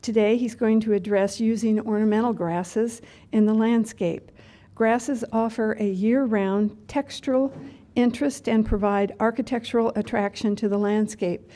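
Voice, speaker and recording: A woman's voice: a little high, fairly even intonation, moderate pace, warm tone. A woman introducing a guest speaker, reading a prepared introduction in a formal, courteous way. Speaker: event host introducing a speaker.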